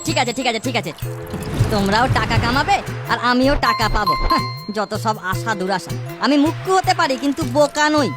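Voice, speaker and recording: A woman's voice: a little high, expressive, moderate pace, lightly acted. A woman voicing a money-minded river boatman in a children's folktale cartoon, brusque and scoffing. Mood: grudging. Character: gruff, money-minded river boatman, grudgingly soft-hearted. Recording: music under the voice.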